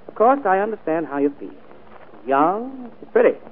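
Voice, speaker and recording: An American actor playing a sly, cheeky manservant and scheming brother in a 1940s radio drama, slangy and mock-deferential. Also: male voice, sits high, big pitch swings, moderate pace, full character voice. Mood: sly, needling. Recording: tinny, band-limited 1940s radio broadcast.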